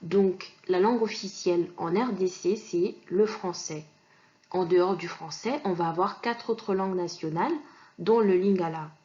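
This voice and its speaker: A woman's voice: lowish voice, normal speed, dark tone, muffled recording. A woman from Kinshasa teaching an online language lesson, explaining patiently with many fillers and restarts. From Kinshasa, DR Congo.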